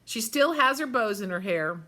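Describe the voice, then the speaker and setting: A woman reading a children's story aloud in an expressive, dramatic way, with chatty asides spoken directly to young listeners. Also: a little high, normal speed. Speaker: story-time reader for children.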